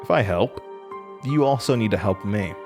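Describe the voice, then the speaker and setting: Weary young student, low-voiced. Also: male voice, expressive, normal speed, over music. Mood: accusing, firm. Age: young adult. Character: weary, defiant young male college student haunted by his past. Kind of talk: audio drama acting.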